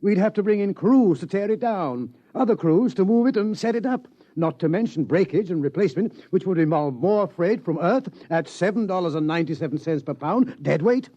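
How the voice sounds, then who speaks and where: Stuffy pompous scientist boss, highish mellow voice, dull old radio. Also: male voice, lively intonation, a little fast, few pauses. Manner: formal, fussy, dignified. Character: pompous older male observatory director. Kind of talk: 1950s radio drama acting. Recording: muffled vintage radio recording.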